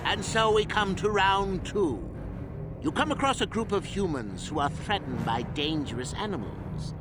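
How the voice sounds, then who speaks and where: A pompous old Sith tormentor from a video game. His fairly high voice leaps wildly in pitch as he toys with his pupils in cruel, theatrical relish. Loud music plays underneath. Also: big pitch swings, normal speed. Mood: gleefully scolding. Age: older. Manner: pompous, gleefully cruel mock-schoolmaster, theatrical swings. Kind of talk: video game villain acting.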